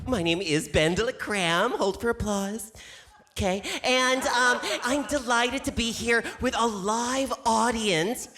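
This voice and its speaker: A man's voice: extremely high pitch, takes it slowly, music in the background. A drag queen comedian from Seattle doing rambling, awkward stand-up on stage with a handheld mic. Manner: rambling, awkward, many ums. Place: theater stage with live audience.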